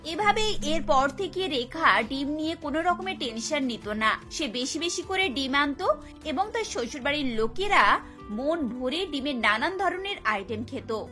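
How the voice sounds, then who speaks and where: A woman narrates a cartoon story from a script. Her voice is high and bright, laid over light background music. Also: lively intonation, measured pace.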